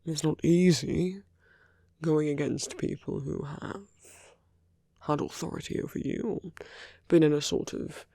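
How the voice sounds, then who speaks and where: Man acting a slow, gravelly, teasing villain. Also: fairly high pitch, no echo, studio quality. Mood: gentle, careful. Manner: unhurried, hushed, pausing between phrases. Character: smooth teasing male villain, tender toward his enemy. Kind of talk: intimate ASMR roleplay acting.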